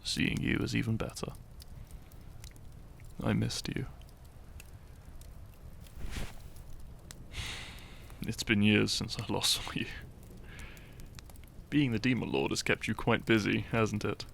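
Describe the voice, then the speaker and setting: Casting: male actor as shy, earnest hero in fantasy audio drama, halting and theatrical, heavy vocal fry, faint background noise. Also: fairly low pitch, fairly even intonation, a bit bright, exaggerated voice. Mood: fond, relieved. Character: shy young hero, earnest, secretly fond of his foe. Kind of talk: roleplay audio drama, addressing the listener.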